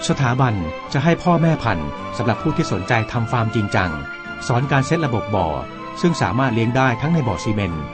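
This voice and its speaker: Male documentary narrator over clear music. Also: medium pitch, big pitch swings, fast talker. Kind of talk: scripted narration.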